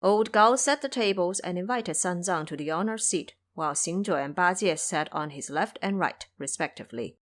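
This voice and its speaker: A woman's voice: lowish voice, lively intonation, normal speed, few pauses, fairly bright tone. A woman reading scripted narration for an audio drama, as the storyteller.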